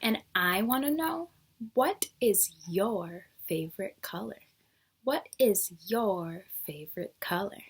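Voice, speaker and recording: A woman's voice: mid-range voice, animated, takes it slowly, husky. A woman teacher reading a picture book aloud to young children, explaining things simply and asking them questions.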